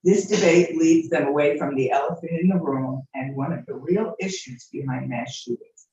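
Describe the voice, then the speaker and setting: A man with a fairly high voice reads a prepared statement at public comment, earnest and steady. He is heard from a distance in a very echoey, reverberant chamber. The sound is dull and slightly noisy. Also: normal speed. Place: city commission chamber. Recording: distant very echoey muffled hall mic.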